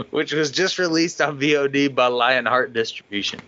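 Bright-toned man reading podcast emails. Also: medium pitch, steady tone, measured pace. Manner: laughs often while reading, loose and chatty. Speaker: podcast co-host reading listener feedback. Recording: dull lo-fi podcast audio.

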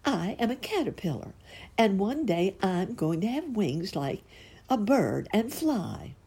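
A woman's voice: medium pitch, very animated, unhurried, dark tone, slightly rough, voice pushed up, heavily acted. A woman voicing an eager, hopeful young male caterpillar in a storybook reading.

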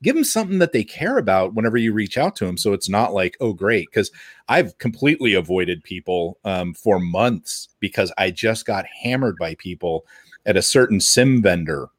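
A man co-hosting a podcast in casual chat, animated in the way he talks. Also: fairly low pitch, moderate pace, no room sound, clean studio sound. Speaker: podcast co-host.

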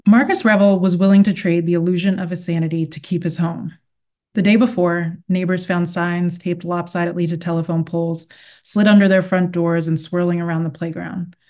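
A woman's voice: on the low side, steady tone, a little fast, dark tone. A woman author speaking as a guest and reading literary fiction aloud. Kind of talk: interview talk and read-aloud of literary fiction.

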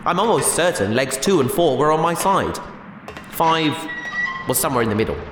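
A man playing an earnest, soft-hearted, talkative spaceship crewman in a sci-fi audio drama, wry and argumentative. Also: fairly high pitch, expressive, moderate pace, over music, natural voice. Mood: defensive.

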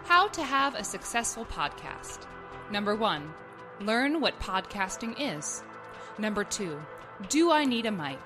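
A woman reading a scripted list in a deliberate, deadpan way, as a podcast narrator. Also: medium pitch. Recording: music behind the voice. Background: music.

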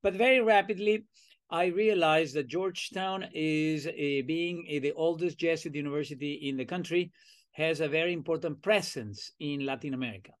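An older man from Venezuela with a Venezuelan accent, telling his career story as a podcast guest in an animated way, acting out old conversations with plenty of fillers. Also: on the high side, normal speed. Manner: animated, acts out remembered dialogue, many fillers. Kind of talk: casual storytelling interview.

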